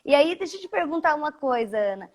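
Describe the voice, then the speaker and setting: A woman's voice: high voice, big pitch swings, fast talker. A Brazilian woman interviewer chatting casually with her guest over a video call.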